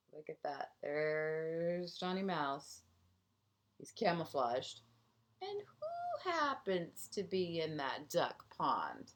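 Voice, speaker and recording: A woman reads a picture book to kindergarten children at a slow, measured pace. Her pitch moves up and down in a lively way. She is expressive, stepping out of the text to point out small details and put questions to the young listeners. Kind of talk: storybook read-aloud with asides to young children.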